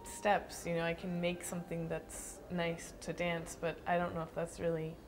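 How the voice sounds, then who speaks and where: A young woman dancer answering interview questions thoughtfully, with frequent pauses and restarts. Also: fairly low pitch, completely flat delivery, noisy background. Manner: thoughtful, hesitant with fillers and restarts.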